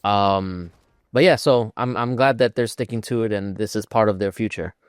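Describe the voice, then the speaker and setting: A man's voice: fairly low pitch, normal speed, clear, resonant tone, no echo, clean studio sound. A man co-hosting a casual gaming podcast, talking in a rambling, opinionated way peppered with "like". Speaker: podcast co-host.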